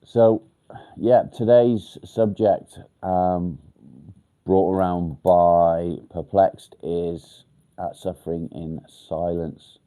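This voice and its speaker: A man's voice: sits low, steady tone, extremely slow, warm tone, clear, resonant tone. A man from England hosts a livestream, answering viewer comments in a blunt, casual way. He sounds nasal and congested from a blocked nose. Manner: blunt, straight to the point. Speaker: livestream host.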